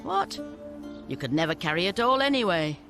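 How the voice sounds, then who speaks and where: A sardonic scavenger boss from a fantasy video game. The delivery is very animated and businesslike, talking down to newcomers while selling a deal. Faint music sits behind the voice. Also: mid-range voice, moderate pace. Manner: condescending, businesslike. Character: brisk, shrewd scavenger boss, sardonic dealmaker.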